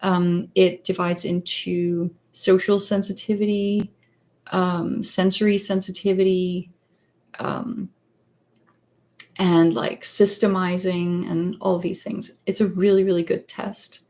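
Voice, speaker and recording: A woman whose first language is Danish talks unhurried, in a fairly low voice, on a casual livestream. She fills gaps with ums, restarts her sentences and sometimes hunts for the English word. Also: flat delivery, a little mellow. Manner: hesitant, many fillers and restarts, searches for words. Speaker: psychologist and author hosting a livestream. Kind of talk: casual livestream chat answering viewer questions.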